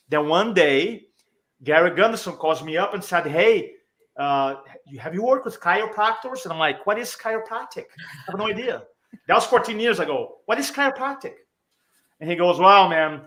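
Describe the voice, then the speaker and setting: A man's voice: on the high side, fairly bright tone. A man from Brazil with a Brazilian accent and Portuguese as his first language, telling personal stories conversationally as a guest speaker and coach.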